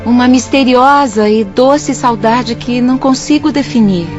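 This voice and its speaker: An actress plays a fragile, fearful young woman in a radio drama. Her highish voice is wistful and unsure. Music plays behind the muffled recording. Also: a little slow, natural voice. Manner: wistful, insecure. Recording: muffled audio under music. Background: prominent music.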